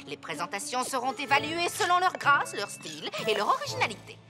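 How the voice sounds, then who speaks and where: A woman's voice: sits high, huge pitch swings, fairly quick. A woman voicing a stern, long-winded schoolmistress pony in a cartoon, speaking formally with fussy, pompous articulation. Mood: strict, explaining. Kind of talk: animated cartoon voice acting. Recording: background music under the voice.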